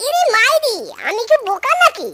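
A performer voicing a mischievous, foolishly self-satisfied male fox in a children's cartoon, with a squeaky, cheeky, boastful delivery. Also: big pitch swings, moderate pace, very noisy. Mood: smug, scheming. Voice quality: very high-pitched, squeaky. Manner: cheeky, boastful, repeats a pet exclamation.

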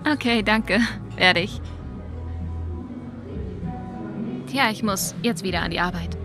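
An actress plays a friendly young woman who works in a bar. Her voice sits at a middle pitch, easygoing and light-hearted. The delivery is natural video game dialogue, with music playing behind it. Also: moderate pace, close to an everyday voice.

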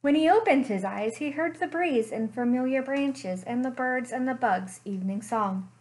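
A young woman, a librarian, reading a picture book aloud slowly and expressively at home into a webcam. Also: high-pitched. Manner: slow, expressive storytime reading. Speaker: children's librarian. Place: living room at home.

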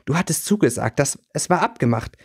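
A man's voice: a little high, expressive, brisk, slightly rough, pitched above the performer's own voice. A man voicing a hot-headed, indignant teenage schoolboy in a fantasy audiobook. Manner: indignant, combative.

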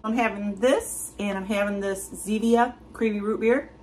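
Older woman, home cook vlogger, chatty step-by-step explainer, mid-pitched, kitchen setting. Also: steady tone, normal speed, a little mellow, a little hiss or hum. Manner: chatty, explains each step plainly with fillers. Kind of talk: casual cooking vlog.

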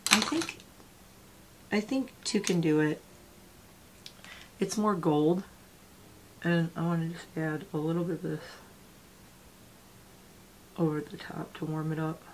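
Makeup vlogger, forties, sniffly, chatty and meandering, a bit of faint room hiss. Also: female voice, low voice, slow pace, pauses often, poor audio. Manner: chatty, rambling, talks while applying makeup. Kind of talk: casual get-ready-with-me chat. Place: small home room with gaming chair.

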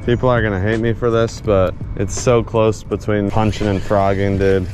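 A young man giving a casual, excited running commentary while fishing from a kayak, laughing often and calling his viewers dude. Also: on the low side, moderate pace, music in the background. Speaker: fishing vlogger. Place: outdoors on a kayak on a lake.

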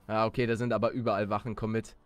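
A man's voice: lowish voice, flat delivery, racing, clear, resonant tone, no room sound. A man giving casual gameplay commentary with some role-play, often hesitating and repeating words as he coaxes along. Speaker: gamer playing a Minecraft story series. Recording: music under the voice. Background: music.

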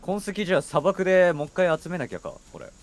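A man's voice: high-pitched, fast. A man in casual, offhand co-op gaming chat, reacting and bantering with his partner as he plays. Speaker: video game streamer. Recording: game music behind the voice. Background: video game music.

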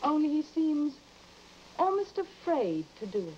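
A woman's voice: high voice, sing-song, measured pace, darkish tone. A woman playing a polite, timid young wife in an old-time radio drama. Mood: anxious, puzzled. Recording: muffled, hissy vintage recording.

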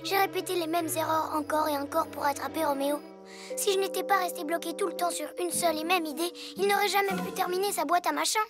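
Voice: extremely high pitch, steady tone, fast. An actor voicing a sincere, earnest small boy superhero in a cartoon, sounding childlike. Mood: regretful. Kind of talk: French cartoon dub acting. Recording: music behind.

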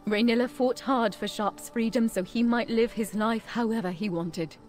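A woman's voice: a little high, moderate pace, a little mellow, faint music behind, natural voice. An actress playing a resolute, protective woman mentor in a fantasy game. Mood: resolute, gentle. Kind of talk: scripted fantasy game voice acting.

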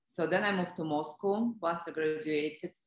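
Low-voiced Russian woman, echoey room. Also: normal speed. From Russia (Siberia). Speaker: interview guest, business development professional. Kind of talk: conversational interview, telling career story. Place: indoor room on a video call. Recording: roomy, echoey video call.

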